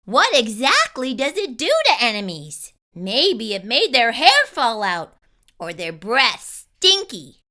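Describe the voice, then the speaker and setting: Young boy narrator for a picture book, read by a woman: earnest, slow, very animated. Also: fairly high pitch, full character voice. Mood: wondering. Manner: expressive, drawn-out storytelling.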